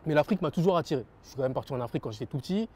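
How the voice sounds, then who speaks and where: A man's voice: on the high side, animated, very fast, a little mellow. A young French man giving earnest interview answers about his rap label, repeating phrases and using many fillers. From France.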